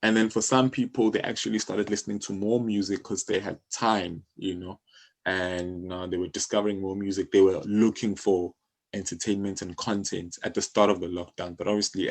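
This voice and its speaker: A man's voice: low-pitched, flat delivery, normal speed. A man with a South African accent talking as an interview guest, explaining things in a casual, conversational way with everyday examples. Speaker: interview guest, music publishing company co-founder.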